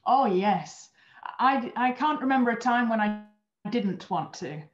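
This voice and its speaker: A woman's voice: on the high side, animated, a little slow, soft background music. A European woman in her thirties, a former astronaut trainer, explaining her work in an earnest way on a video call interview. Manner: earnest, explanatory, with small restarts. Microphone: webcam on video call. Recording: roomy, dull video call audio.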